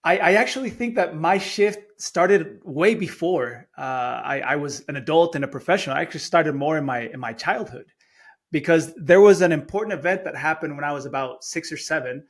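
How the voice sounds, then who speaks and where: A middle-aged man who grew up in Chile and spoke Spanish first tells a personal story as a podcast guest. His voice sits a little high for a man. He talks earnestly and often repeats a word or two before a sentence gets going. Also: expressive, fairly quick, slightly rough. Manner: earnest, repeats words when starting phrases. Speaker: guest speaker. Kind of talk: storytelling in an interview.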